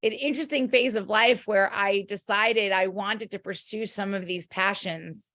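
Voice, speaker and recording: Earnest woman guest, halting and thoughtful. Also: mid-range voice, fairly even intonation, fairly bright tone, no echo. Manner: hesitant, many fillers and restarts, earnest. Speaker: guest, doctor and author. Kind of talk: casual interview chat.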